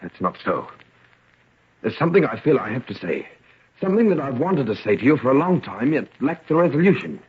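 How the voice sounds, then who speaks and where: Grave gentleman father, radio melodrama, rough husky voice, muffled old recording. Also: medium pitch, big pitch swings, brisk. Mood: grave, haunted. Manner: solemn, melodramatic. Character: troubled, grave gentleman father of a wicked son. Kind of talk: old-time radio drama acting.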